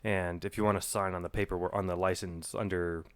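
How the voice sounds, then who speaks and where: A man with a notably low voice, a little nasal from a cold he's getting over. He talks in a halting, restarting way, recorded close and dry with no room sound. It's a relaxed podcast chat between friends. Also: no echo. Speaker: podcast co-host. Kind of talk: casual chat. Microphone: podcast microphone.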